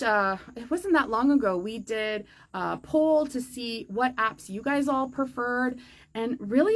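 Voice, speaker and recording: A woman's voice: mid-range voice, creaky. A woman hosting a viewer-question show, chatting casually and upbeat, with frequent fillers. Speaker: host answering viewer questions. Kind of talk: casual chat to camera. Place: outdoor forest gazebo.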